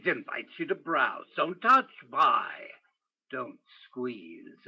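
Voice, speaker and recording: A hardboiled, poetic detective, played by a man, narrates his beat as 1950s radio noir. He is brooding and world-weary, in slow, clipped phrases with a husky edge and big pitch swings. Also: pushed and bright, heavily acted, rough recording.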